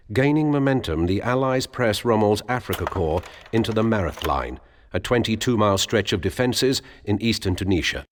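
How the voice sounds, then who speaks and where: A man narrating military history in a measured, grave, formal documentary style for a game. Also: lowish voice, slight background noise, lightly acted. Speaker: narrator of war history briefings.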